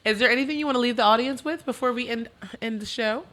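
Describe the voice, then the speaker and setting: A woman hosting a podcast in a casual chat, talkative and quick to laugh, often repeating her words. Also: fairly high pitch, a bit bright. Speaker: podcast host.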